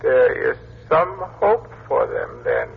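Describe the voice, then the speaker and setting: An actor playing a solemn ancient alien who judges humankind in a radio science fiction drama, with a creaky, husky voice. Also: male voice, medium pitch, huge pitch swings, slow, full character voice. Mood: solemn, judging. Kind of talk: old-time radio science fiction acting. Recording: muffled old radio drama recording.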